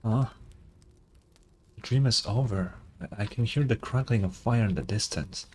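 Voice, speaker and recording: A man's voice: lowish voice, lively intonation, moderate pace, darkish tone, slightly rough. Casual male game streamer thinking aloud. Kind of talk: casual reaction commentary.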